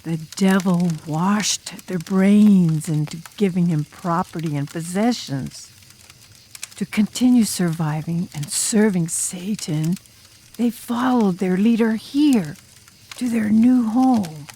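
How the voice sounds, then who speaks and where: Woman playing a slow, husky, ominous campfire storyteller. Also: medium pitch, mellow. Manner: ominous, drawn-out, dramatic, sometimes dropping to a whisper. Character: enigmatic drum major student telling a campfire demon horror tale. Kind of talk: audiobook ghost story narration in character.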